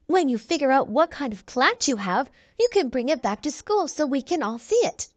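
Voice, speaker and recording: A woman's voice: high-pitched, sing-song, normal speed, dry recording. A woman voicing a kind, encouraging preschool teacher in a storybook, explaining patiently to small children.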